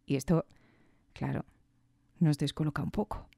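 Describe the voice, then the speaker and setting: Mellow woman, thoughtful podcast commentary. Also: deep voice, fairly even intonation, moderate pace, creaky, breathy edge, no echo, clean studio sound. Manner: thoughtful, rhetorical questions, emphatic repetition. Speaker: podcast host. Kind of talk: reflective commentary on audio fiction.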